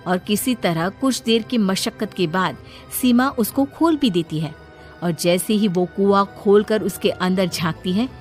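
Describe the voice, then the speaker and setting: A woman's voice: mid-range voice, darkish tone. Woman narrating a horror story over music.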